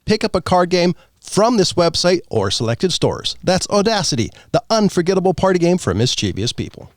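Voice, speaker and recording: A man's voice: high-pitched, big pitch swings, normal speed, dry recording, clean studio sound. A man reading a scripted promotional sponsor spot as an advertisement announcer.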